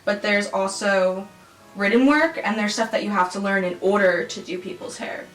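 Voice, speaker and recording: An eighteen-year-old woman talking casually in an interview, relaxed and full of "like". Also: mid-range voice, moderate pace. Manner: relaxed, uses lots of "like". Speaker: cosmetology student. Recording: roomy echo, distant mic, faint music.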